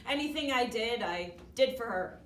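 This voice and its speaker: A woman playing a grieving but upbeat widow from Seattle in a dramatic monologue, talking haltingly and conversationally as if interviewed for a documentary. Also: on the high side, lively intonation, a bit bright. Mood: modest, friendly. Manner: halting, conversational, with nervous asides. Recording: distant roomy hall recording with faint noise.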